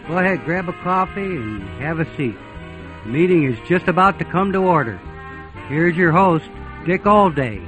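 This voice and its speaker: A man's voice: a little high, low-quality recording. A man announcing the opening of a club show with an informal scripted welcome. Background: music.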